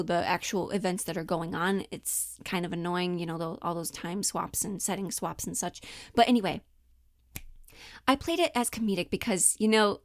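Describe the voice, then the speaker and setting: A woman's voice: mid-range voice, no echo, clean studio sound. A woman giving casual, explanatory commentary as an acting coach, candid and informal in how she talks. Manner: candid, informal, mildly sweary. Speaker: acting coach and monologue performer.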